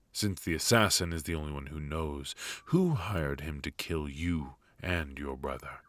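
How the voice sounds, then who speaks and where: A man playing a brooding, protective knight in an intimate ASMR roleplay, speaking in a halting, earnest, hushed way. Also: a bass voice, no echo, heavily acted. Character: brooding, protective male knight devoted to an outlawed royal. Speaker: voice actor.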